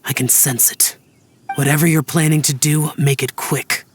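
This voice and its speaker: An actor playing a stern, aloof young guardian in a video game, speaking in terse, solemn phrases. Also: male voice, a little high, little pitch movement, moderate pace, soft background music, natural voice. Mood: grave. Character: stern, aloof young male guardian who fights evil spirits. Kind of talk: video game character acting.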